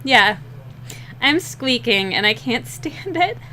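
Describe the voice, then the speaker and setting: A young woman with a slightly rough, scratchy voice, rambling and laughing at herself in a casual chat while drawing, short on sleep. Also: a little high, big pitch swings, takes it slowly. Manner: rambling, giggly, self-deprecating. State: sleep-deprived. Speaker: digital artist streaming a drawing session.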